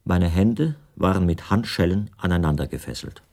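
An actor playing a bespectacled middle-aged writer who recounts his own story in measured, formal and precise literary narration. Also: sits low, lively intonation, mellow. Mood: measured, recollecting. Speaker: first-person narrator of a radio play. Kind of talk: literary scripted narration in a radio play.

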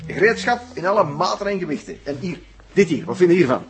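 A Flemish actor playing a proud, self-assured and secretive hobby inventor in a television crime drama. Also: male voice, high-pitched, big pitch swings, fast, a little hiss or hum. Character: proud male hobbyist inventor showing off his workshop. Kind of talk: naturalistic TV crime drama acting.

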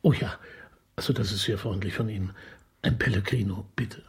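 An actor playing a weary, irritable, cultured police detective chief in a German radio crime comedy, formal and exasperated. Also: male voice, fairly low pitch, a little fast, husky. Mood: polite, uneasy.

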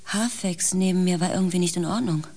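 Low-voiced, grave female space pilot. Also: brisk, mellow. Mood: tense, haunted. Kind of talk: radio play acting, dramatic first-person narration.

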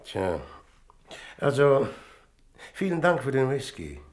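An actor playing a composed police investigator who questions tersely and considerately in in a radio crime drama. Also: male voice, medium pitch, very animated, takes it slowly. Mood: considerate, measured.

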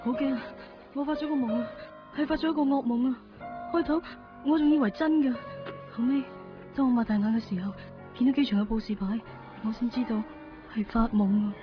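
A woman's voice: fairly high pitch, brisk, pauses often, close to an everyday voice. An actress playing a devoted, sentimental young woman in a Cantonese radio drama, earnest and emotional, with a Hong Kong accent. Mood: shaken, reflective. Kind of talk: 1990s Cantonese radio drama acting with first-person narration. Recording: distant, dull radio recording with music.

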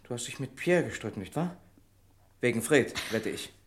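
An actor playing Maurice, a gruff, loyal, protective friend, in an urgent, coaxing way in a German radio crime drama. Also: male voice, medium pitch, lively intonation, moderate pace, a little hiss or hum, natural voice. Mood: concerned, coaxing.

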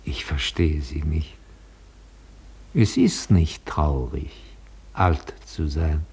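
An actor playing a gentle, nostalgic old retired opera tenor in a German radio play, courteous and halting. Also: male voice, very deep voice, creaky, slightly rough, exaggerated voice. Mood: dreamy. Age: older.

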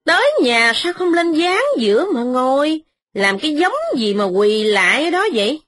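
A woman voicing a spiteful young wife who nags and mocks in a sharp, sarcastic tone. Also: sits high, expressive, moderate pace, voice pushed up.

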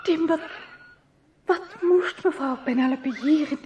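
A woman's voice: high-pitched, measured pace, a little mellow, muffled recording. A woman playing an anxious, distraught young companion in a radio drama, stammering and breathless. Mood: bewildered, upset. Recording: clearly noisy old radio recording.

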